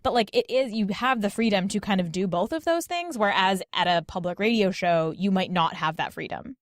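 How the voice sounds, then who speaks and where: A woman's voice: mid-range voice, animated, brisk, no echo, clean studio sound. A woman chatting casually as a podcast guest, peppering her sentences with "like". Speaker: podcast host as interview guest. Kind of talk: casual podcast panel chat.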